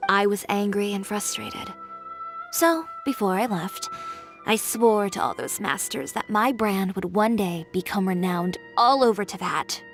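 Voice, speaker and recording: Self-assured designer in a game, mid-pitched, cool and wry, soft music behind. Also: female voice, expressive, a little slow. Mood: reflective. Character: confident young woman fashion designer, proud and stubborn.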